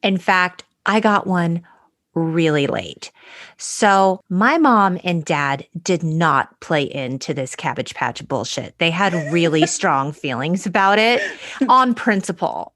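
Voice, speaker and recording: A woman's voice: lowish voice, lively intonation, slow, fairly bright tone, no room sound, studio quality. A woman in her forties co-hosting a podcast with informal, emphatic, chatty delivery. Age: 40s. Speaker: podcast co-host. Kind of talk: casual chat with a friend, some scripted intro.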